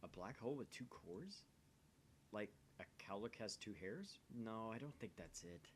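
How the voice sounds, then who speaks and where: A man acts out a nerdy young otaku in a visual novel, with a low but animated voice. He is droll, teasing and questioning. There is slight noise and rumble on the recording. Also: normal speed, close to an everyday voice. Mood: teasing, curious.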